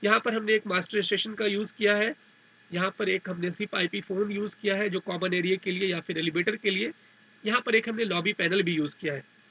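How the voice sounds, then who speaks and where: Male technical trainer, high-pitched with a gravelly edge, methodical step-by-step explainer, dull narrow recording. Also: steady tone, fairly quick. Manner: repetitive, step-by-step explaining with English technical terms. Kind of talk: explanatory tutorial over a diagram.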